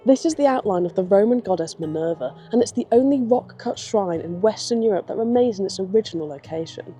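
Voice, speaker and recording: A woman's voice: mid-range voice, lively intonation, fairly quick, warm tone, soft background music. A woman presenting a history tour on location.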